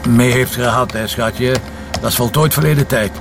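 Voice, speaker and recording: An actor playing a wry, brisk Flemish police commissioner in a naturalistic radio drama. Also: male voice, medium pitch, gravelly, background noise, lightly acted. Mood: relaxed, wry. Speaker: lead actor.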